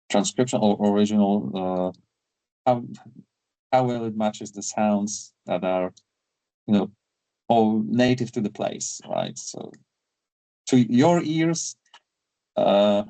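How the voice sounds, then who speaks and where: Slow, hesitant male interviewer. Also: on the low side, dark tone, dry recording, rough recording. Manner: hesitant, with many fillers. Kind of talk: interview questions.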